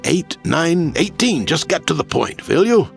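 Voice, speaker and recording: An actor playing a pompous, defensive bishop in an audio drama, indignant and theatrical. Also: male voice, fairly high pitch, very animated, a little slow, vocal fry, faint music behind, exaggerated voice. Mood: impatient, dismissive. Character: imperious, defensive male bishop, outraged authority. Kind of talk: dramatic audio fiction acting.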